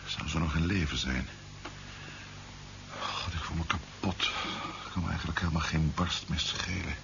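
Very deep, gravelly, exhausted hero. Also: male voice, moderate pace. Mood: distraught, weary. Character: weary, distraught man missing his wife, bitterly defiant. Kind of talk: 1970s Dutch science fiction radio drama acting. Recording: dull, noisy 1970s radio recording.

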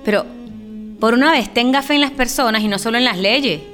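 A woman's voice: on the high side, a little fast, fairly bright tone, natural voice. A woman playing a defiant, sardonic evictee in a radio play. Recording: music running under the voice.

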